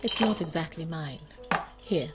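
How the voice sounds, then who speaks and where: Coy poised hostess, lowish but lively pitch, radio drama. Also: female voice, unhurried. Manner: coy, demurring. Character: poised, coy female gallery partner hosting a guest. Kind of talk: BBC radio drama acting.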